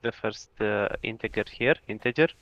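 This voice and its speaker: Bright-voiced man on a muffled call. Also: lowish voice, normal speed, no room sound. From Bulgaria. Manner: agreeable, thinking aloud with hesitations. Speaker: student. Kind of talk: casual questions in a lesson.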